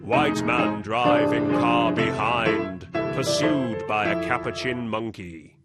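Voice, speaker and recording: A man performing in a radio play, reciting in repetitive, measured phrases. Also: fairly low pitch, steady tone. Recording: loud music over the voice.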